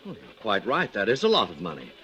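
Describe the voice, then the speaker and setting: A man's voice: lowish voice, huge pitch swings, quick pace, bright, forceful tone, exaggerated voice. A man playing a rule-bound bank teller, formal, clipped and firm, in old-time radio acting on a noisy old recording.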